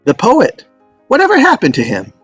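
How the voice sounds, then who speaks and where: A man's voice: extremely high pitch, wildly swooping pitch, moderate pace, slightly rough, no echo, faint music behind, heavily acted. An actor playing an earnest, adventurous young man who tells his story in a screenplay table read. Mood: puzzled, earnest.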